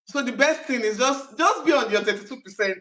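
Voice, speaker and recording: A woman's voice: fairly high pitch, fairly quick. Brief: middle-aged woman host, easygoing, explains at length. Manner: relaxed, laughs easily, explains at length. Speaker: host asking questions. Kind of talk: casual conversational Q&A.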